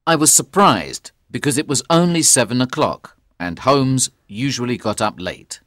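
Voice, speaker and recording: An actor playing a loyal gentleman who narrates a detective story in a measured, deliberate way. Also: mid-range voice, animated, dry recording, studio quality, lightly acted. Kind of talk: slow, clear scripted story narration.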